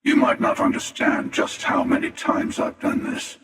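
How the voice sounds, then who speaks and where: A man voicing a jaded, menacing, world-weary older space hunter for a video game, with a gravelly, sardonic and deliberate delivery. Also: a bit bright, natural voice. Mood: measured, sardonic. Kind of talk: video game character voice lines. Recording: cavernous echo and long reverb, distant.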